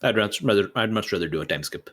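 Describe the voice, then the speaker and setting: A man with a fairly low voice chats as a player at a tabletop game. He is informal and agreeable, working out his character's choices aloud. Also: expressive, fast, fairly bright tone. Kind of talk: casual tabletop roleplay discussion.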